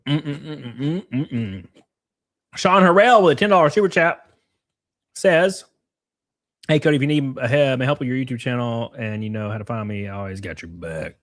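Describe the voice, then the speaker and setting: A man's voice: mid-range voice, normal speed, fairly bright tone, no echo. A man hosting a stream, giving blunt, rambling and profane reaction commentary. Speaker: streamer host.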